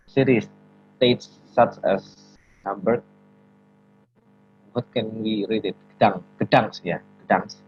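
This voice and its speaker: A young man with an Indonesian accent reading a class presentation, halting and stumbling over words, asking aloud how to read some of them. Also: lowish voice, a little mellow. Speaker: student presenter. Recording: dull lo-fi audio with faint music.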